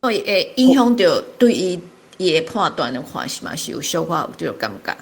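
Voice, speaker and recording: A woman's voice: lowish voice, sing-song, a little fast, slightly rough. A woman with a Taiwanese accent putting questions to a guest in a casual panel discussion.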